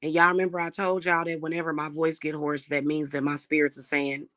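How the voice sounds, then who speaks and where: A woman with a slightly hoarse voice, talking casually and defiantly to her viewers about her spiritual practice. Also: low-pitched, level tone, brisk, fairly bright tone. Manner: chatty, defiant, addresses viewers as y'all. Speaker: spiritual reader and channel host.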